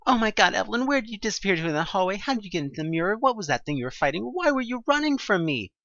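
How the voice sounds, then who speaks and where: A man's voice: sits high, sing-song, fairly bright tone, no room sound, lo-fi sound, pitched above the performer's own voice, heavily acted. A man acting an earnest, panicky young protagonist who asks question after question in an amateur audio drama. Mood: panicked. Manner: breathless rapid-fire questioning.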